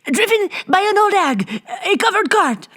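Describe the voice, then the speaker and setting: A woman's voice: very high voice, sing-song, a little slow, pushed and bright, pitched above the performer's own voice, exaggerated voice. A woman voicing a frantic male captain of the guard, breathless and urgent, in a storybook reading. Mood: alarmed.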